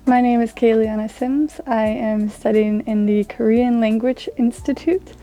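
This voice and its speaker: A young woman, an international language student, giving thoughtful, measured interview answers. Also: on the high side, steady tone, warm tone, faint music behind. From United States.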